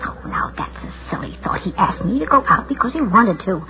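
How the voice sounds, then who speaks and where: A woman's voice: medium pitch, very animated, fairly quick, close to an everyday voice. An actress in a 1940s radio drama playing a lonely, self-doubting woman's agitated inner voice. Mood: self-pitying. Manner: agitated self-questioning, arguing with herself. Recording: tinny band-limited vintage radio recording, loud music.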